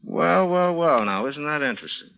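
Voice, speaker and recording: An American actor playing a shrewd, suspicious homicide lieutenant in a vintage radio crime drama, clipped and sardonic. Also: male voice, fairly high pitch, lively intonation, normal speed, vocal fry, a bit bright. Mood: sardonic, warning. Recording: noisy vintage radio recording with clicks.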